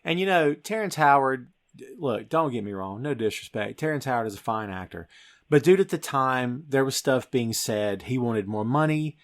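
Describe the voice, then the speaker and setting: A man's voice: medium pitch, expressive, normal speed. A middle-aged American man hosting a podcast, talking about film in a casual, emphatic, conversational way. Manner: emphatic, conversational, addresses listener as "dude". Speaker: podcast host.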